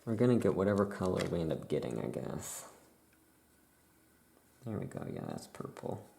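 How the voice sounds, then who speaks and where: A soft-spoken ASMR host murmuring thoughts aloud in halting short phrases, close to the microphone, while writing. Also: low-pitched, wildly swooping pitch, gravelly, slight background noise. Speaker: ASMR host playing a witch shopkeeper.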